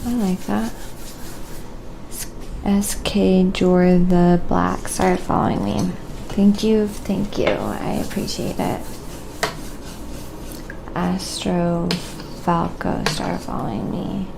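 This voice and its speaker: Soft-spoken, unhurried woman with creaky voice. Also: on the low side, very noisy. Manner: soft-spoken, murmuring to herself between remarks. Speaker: live-stream painting host. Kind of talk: quiet casual ASMR painting stream chat.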